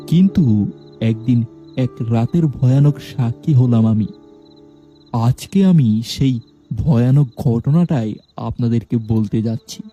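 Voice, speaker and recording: A man narrating a scripted first-person horror story in a steady, measured way. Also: medium pitch, dull audio.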